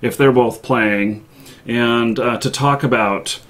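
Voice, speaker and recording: A man's voice: medium pitch, steady tone, takes it slowly, fairly bright tone. Man hosting a casual gaming livestream. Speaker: live show host. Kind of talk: casual livestream chat about games.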